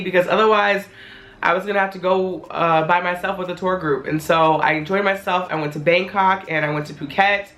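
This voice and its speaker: A woman in her twenties talking casually to her viewers in a vlog, her voice a little hoarse as it returns, chatty and candid. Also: fairly low pitch, a bit bright. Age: 20s. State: hoarse, voice not fully back. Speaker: vlogger.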